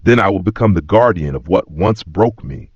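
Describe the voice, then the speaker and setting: A man's voice: low voice, animated, normal speed. A man voicing a broken former villain in an audio saga, grave, subdued and penitent. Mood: remorseful. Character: broken former villain, remorseful, seeking redemption. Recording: roomy echo, slightly dull sound.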